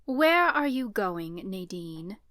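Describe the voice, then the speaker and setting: A woman's voice: high-pitched, very animated, takes it slowly, no echo, clean studio sound, voice pushed up, full character voice. A woman voicing a sly priestess who mocks with false innocence. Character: sly, mocking high priestess.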